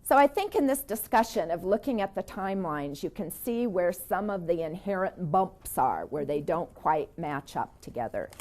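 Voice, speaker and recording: Lower-voiced woman presenter leading a court training, steady, filler-heavy, asks the room questions. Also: normal speed, few pauses. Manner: steady, with many "uh" fillers and a run of questions to the audience.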